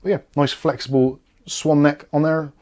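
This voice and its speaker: A man's voice: a little high, little pitch movement, moderate pace, a little mellow. A British man giving a casual product review and unboxing, explaining things in a chatty, easygoing way.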